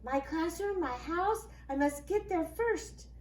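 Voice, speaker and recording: A determined gingerbread man from a storybook, put on by a woman, speaking in a high voice. He is bold and emphatic, eager for the chase. The room echoes and there is faint noise behind. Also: expressive, takes it slowly, darkish tone, heavily acted. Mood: urgent, eager.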